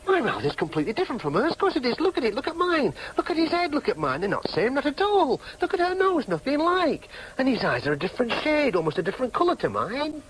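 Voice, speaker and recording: An actor with a Yorkshire accent playing an agitated, obsessive adopted son in a radio drama, insistent and declamatory. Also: male voice, very high-pitched, huge pitch swings, fast talker, few pauses, slightly rough, heavily acted, rough recording. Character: agitated, obsessive adopted son hungry for his true identity. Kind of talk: broadcast radio drama acting.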